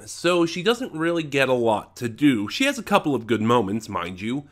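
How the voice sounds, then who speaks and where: A man giving a casual, unscripted, opinionated review, stuffed up with a head cold, halting and often rephrasing as he thinks. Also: mid-range voice, very animated.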